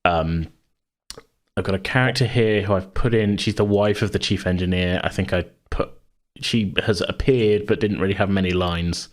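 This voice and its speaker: A man with a distinctly deep-pitched voice talks casually as a podcast host. He rambles and thinks aloud, in the loose style of a chat about a game he runs. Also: moderate pace.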